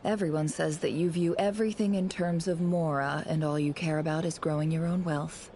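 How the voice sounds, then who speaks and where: A woman voicing a composed, courteous, quietly teasing noblewoman in a video game, speaking in a polite, measured, knowing way. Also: deep voice, steady tone, a little hiss or hum. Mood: sincere.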